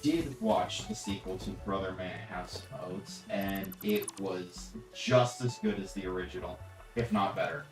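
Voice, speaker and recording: A man's voice: deep voice, unhurried, echoey room, far from the mic, music in the background, low-quality recording. A man hosting a gaming livestream, reading out chat and bossing his partner around in a dry manner. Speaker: livestream host. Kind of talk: casual chat while gaming.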